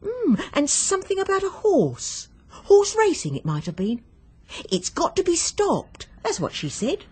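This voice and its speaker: A woman's voice: very high-pitched, huge pitch swings, a little slow, a little mellow, heavily acted. A woman playing a plain-spoken, weary English landlady in a radio drama, guarded and matter-of-fact. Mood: gossipy, grave. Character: plain-spoken, weary London landlady giving police statement. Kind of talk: British radio drama acting.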